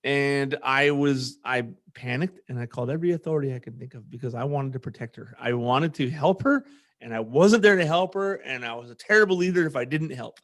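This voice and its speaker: A man's voice: mid-range voice, a little fast, a bit bright. A man with an American accent hosting a podcast, telling personal stories in a casual, earnest way. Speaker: podcast host; former army platoon leader.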